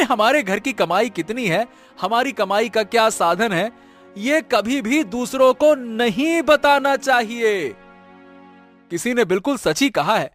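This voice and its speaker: A man's voice: very high-pitched, a bit bright, heavily acted. A man playing a distressed poor villager husband in a cartoon moral story, scolding and lamenting dramatically.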